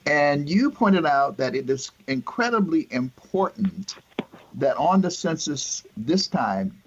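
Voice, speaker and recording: A middle-aged man hosting an interview, halting and searching for words as he frames his questions. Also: fairly high pitch, lively intonation, lo-fi sound, slight background noise. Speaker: host.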